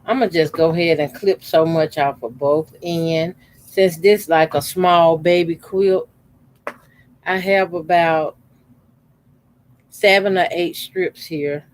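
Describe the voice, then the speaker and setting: A man in his fifties, a quilting channel host, calmly talking through a how-to demonstration at his sewing machine with his voice deliberately kept low. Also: low-quality recording. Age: 50s. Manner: talks unhurriedly while sewing, deliberately keeping his voice lowered.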